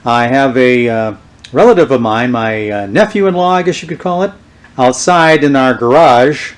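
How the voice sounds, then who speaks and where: A man with a medium-pitched voice presents an informal product demonstration. He speaks without a script, in a halting and unhurried way, as someone showing items piece by piece. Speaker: presenter showing vintage radio gear. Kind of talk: unscripted show-and-tell demonstration.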